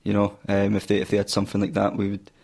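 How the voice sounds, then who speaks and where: Gravelly Scottish guitarist from Fife. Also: male voice, on the low side, quick pace. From Fife, Scotland. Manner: relaxed, says aye, wee. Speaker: guitarist, band guest. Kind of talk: casual radio interview. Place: radio studio.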